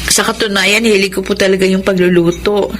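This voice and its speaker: A Filipino actress playing a humble, obedient young housemaid and dutiful daughter, polite and earnest, in a radio drama. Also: female voice, medium pitch, little pitch movement, fairly quick, a little hiss or hum, lightly acted. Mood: eager, respectful. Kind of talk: Tagalog radio drama acting.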